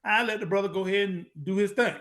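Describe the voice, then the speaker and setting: Male lecturer with a high voice, preachy and emphatic, hammers points by repetition and rhetorical questions, casual grammar. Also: steady tone, quick pace, fairly bright tone. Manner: street-style informal grammar. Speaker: lecturer on Moorish American history.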